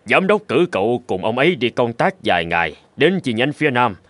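A confiding, friendly office colleague. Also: male voice, medium pitch, very animated, a little fast, a bit bright, no room sound. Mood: businesslike. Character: chatty, encouraging male senior office colleague. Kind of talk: cartoon dubbing.

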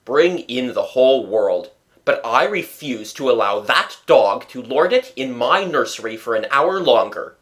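A storybook reader voicing a blustering, pompous middle-aged father who declaims loudly and indignantly. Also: mid-range voice, extremely slow, few pauses. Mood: commanding, reckless. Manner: loud, indignant, emphatic declaiming.

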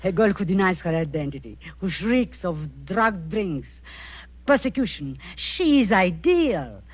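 A woman's voice: on the low side, very animated, a little slow, no echo. An actress playing a ruthless, imperious middle-aged woman spy posing as a kindly aunt, in theatrical 1940s radio style. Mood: scornful, gloating. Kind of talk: 1940s radio suspense acting. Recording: muffled 1940s radio recording.

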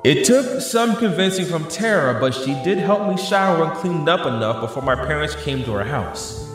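A man's voice: a little high, animated, normal speed, natural voice. A young man acting an anxious, battered superhero in an audio drama, earnest and breathless as he narrates his ordeal. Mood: somber narration. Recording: very echoey hall-like reverb, music behind.

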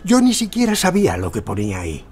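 An actor playing a wily, flattering Lebanese antiquities dealer in an audio drama. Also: male voice, on the high side, fast, slight background noise. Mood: flattering, sly.

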